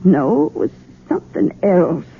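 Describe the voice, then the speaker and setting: An actress playing a bitter, wry older mother in a 1970s radio drama, speaking in a slow, sardonic, husky way. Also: on the low side, sing-song, full character voice. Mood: suspicious. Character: bitter, wry old widowed mother, suspicious and brooding. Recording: tinny, band-limited vintage radio recording.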